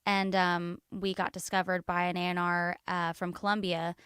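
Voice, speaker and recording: Chatty woman from Florida, smooth voice. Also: lowish voice, flat delivery, normal speed, a bit bright, dry recording, clean studio sound. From Florida, USA. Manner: chatty, laughs often, many fillers. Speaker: guest singer. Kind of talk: casual interview chat. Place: podcast studio.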